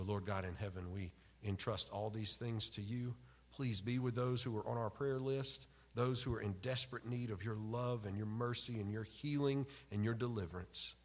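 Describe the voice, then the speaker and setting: A man leading a spoken prayer in a church, with an earnest, steady praying cadence. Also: lowish voice, husky, big-room sound. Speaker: prayer leader.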